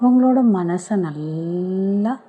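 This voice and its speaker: A woman leading a guided relaxation meditation, giving soothing, gentle step-by-step instructions with pauses. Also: fairly low pitch, expressive, husky, phone-quality sound.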